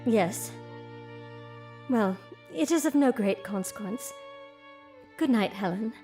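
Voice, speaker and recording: A nervy young bride-to-be, high voice, formal audio drama acting, faint music behind. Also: female voice, lively intonation, slow, dark tone. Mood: uneasy, wondering. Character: delicate young bride-to-be, uneasy sister.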